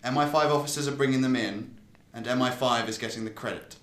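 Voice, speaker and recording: A man's voice: medium pitch, fairly even intonation, fast talker, fairly bright tone, lightly acted. Actor doing grim security official guy, grave and emphatic, radio play, echoey. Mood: tense, grave. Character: grim male security official watching a stealth operation. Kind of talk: radio drama acting. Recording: echoey room.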